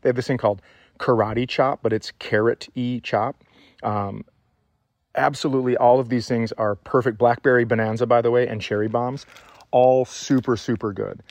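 A man's voice: mid-range voice, steady tone, normal speed, darkish tone, no echo. A man hosting a podcast in a casual comedic chat style, with an effusive, teasing, plain-spoken manner.

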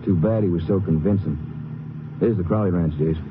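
A quick-talking, low-voiced lawman's sidekick, acted by a man, plain-spoken and skeptical. He is folksy and questioning, in an old radio western with noisy sound. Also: natural voice. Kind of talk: 1950s radio western acting. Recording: dull, noisy 1950s radio recording.

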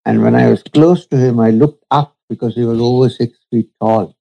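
A man, a spiritual teacher, telling a story from his past in a slow, measured, reflective way during an interview. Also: mid-range voice, fairly even intonation, vocal fry, warm tone, low-quality recording. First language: Urdu.

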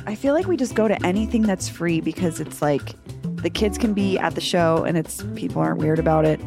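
American lady doing a chatty podcast ad, lively pitch, music behind her. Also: a little fast, gravelly. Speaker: ad presenter. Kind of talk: sponsor read, conversational.

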